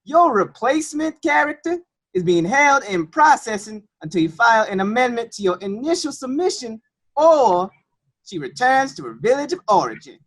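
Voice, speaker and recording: A woman's voice: a little high, very animated, normal speed. A woman playing an unbending, officious clerk in a comedic radio play, clipped and matter-of-fact. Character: unbending, officious bureaucratic clerk, deadpan and obstructive.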